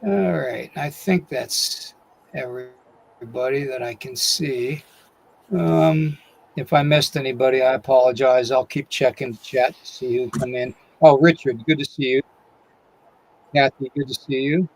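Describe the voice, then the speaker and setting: A man's voice: mid-range voice, unhurried, gravelly, low-quality recording. A man hosting a live-stream podcast, reading a disclaimer and greeting chat viewers by name, who says he is losing his voice. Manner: reads a long disclaimer, then greets chat viewers one by one. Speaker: podcast host.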